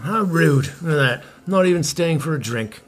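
A man's voice: a little high, lively intonation, normal speed. An actor playing a vain, blustering washed-up male pop star, pompous and dismissive, in a comedy podcast drama. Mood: indignant.

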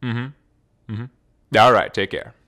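A man's voice: lowish voice, slow, bright, forceful tone, a little hiss or hum, full character voice. A man voicing a volatile, shifty dad who turns sweet with family, in an anime parody dub. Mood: cheerful. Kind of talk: fan parody dub acting.

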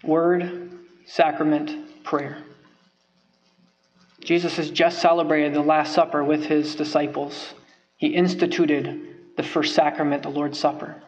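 A man's voice: on the high side, dull audio. A young man preaching from a church pulpit, earnest and emphatic, stressing points by repeating phrases. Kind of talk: sermon. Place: church sanctuary, at a wooden pulpit. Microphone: pulpit microphone.

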